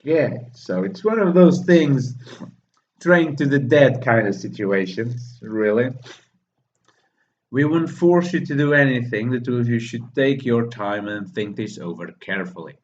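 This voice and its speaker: A man who is not a native English speaker, hosting a live reaction and reading lines aloud, excitable and laughing often. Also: mid-range voice, unhurried, lo-fi sound, slight background noise. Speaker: reaction video host.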